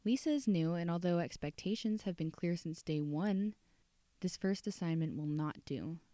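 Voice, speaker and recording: A woman's voice: lowish voice, normal speed, dark tone, dry recording, natural voice. A woman reading a short story aloud as a stern, disapproving female schoolteacher, prim and formal.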